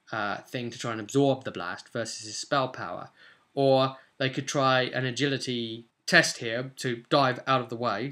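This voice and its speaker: A man's voice: medium pitch, sharp tone. A British man in his twenties casually explaining as a game master on a vlog, rambling along with emphatic bursts. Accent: British English. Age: 20s. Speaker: game master, video host. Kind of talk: casual explanatory vlog about tabletop role-play. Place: small home room, indoors.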